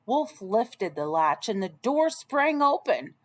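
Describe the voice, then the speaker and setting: A woman narrates a children's tale in an expressive read-aloud style. She takes her time with each phrase and moves her pitch through wide, animated swings. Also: slow. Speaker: storyteller.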